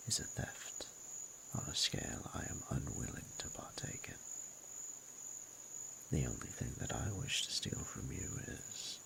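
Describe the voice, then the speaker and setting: A man voicing a flirtatious, possessive yet respectful dragon with a very deep, gravelly voice and formal, archaic, teasing diction in a romantic audio roleplay. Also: bright, forceful tone, slight background noise, exaggerated voice. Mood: earnest, gentle. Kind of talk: scripted romantic audio roleplay, intimate monologue.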